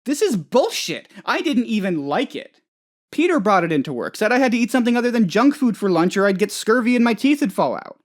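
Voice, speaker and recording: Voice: mid-range voice, sing-song, fairly quick, natural voice. An actor playing a dim, anxious, childishly self-important office boss in a comedic video game, rambling hesitantly and turning whiny when upset. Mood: indignant, whiny. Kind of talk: comedic game dialogue, voice lines.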